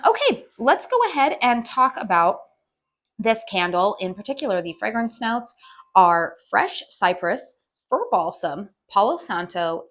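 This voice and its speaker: A woman with a raspy voice from a head cold, casually reviewing a candle outdoors in a chatty way with lots of ums. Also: mid-range voice, a bit bright. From US West Coast. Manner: chatty, frequent ums and restarts. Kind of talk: casual product review vlog. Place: outdoors with birdsong.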